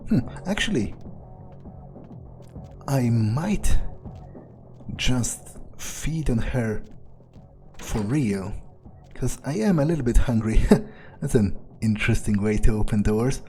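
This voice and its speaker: A man's voice: medium pitch, expressive, slow, pauses often, darkish tone. A man role-playing a callous, laid-back vampire vigilante in a video game, muttering his thoughts offhandedly. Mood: musing. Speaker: let's player role-playing in character. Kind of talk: in-character gameplay commentary, thinking aloud. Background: game music.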